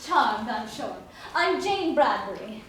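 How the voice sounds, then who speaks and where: High-voiced poised young heiress, played by a woman. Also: very animated, slow, fairly bright tone, heavily acted. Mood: poised, breezy. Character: well-bred young heiress ballerina, poised and breezy. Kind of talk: musical comedy stage acting. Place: live staged reading before audience. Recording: echoey stage, distant mic, faint noise.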